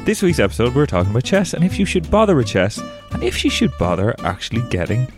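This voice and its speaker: A man's voice: mid-range voice, very animated, fast talker, over music. A young man co-hosting a podcast in casual, teasing, sweary banter. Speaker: podcast co-host. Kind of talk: casual chat.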